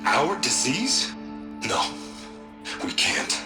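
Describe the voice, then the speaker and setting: A man playing a guilt-ridden, desperate and righteous tech leader in a video game, halting and pleading as he speaks. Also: medium pitch, huge pitch swings, over music, exaggerated voice. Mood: desperate zeal. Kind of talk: video game cutscene acting.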